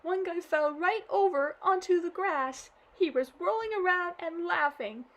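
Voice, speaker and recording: A woman reading a storybook aloud and voicing a dramatic, tearful little girl who sobs out her complaints. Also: a little slow. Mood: wailing. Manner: high, sobbing, wailing delivery.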